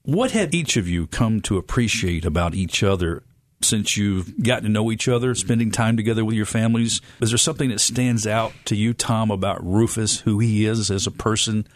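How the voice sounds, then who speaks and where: A man's voice: fairly low pitch, moderate pace. A man hosting an interview show, asking long, conversational questions. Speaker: host. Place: studio room.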